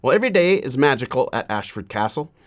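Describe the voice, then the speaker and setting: A man reading a children's story aloud in a measured, deliberate way, pausing often between phrases. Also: mid-range voice, big pitch swings.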